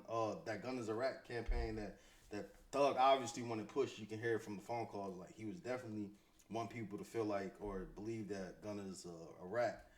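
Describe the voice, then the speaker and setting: A man with an American accent giving casual, off-the-cuff commentary as a host, slangy and conversational, often repeating himself. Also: medium pitch. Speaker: host commentating on hip-hop news.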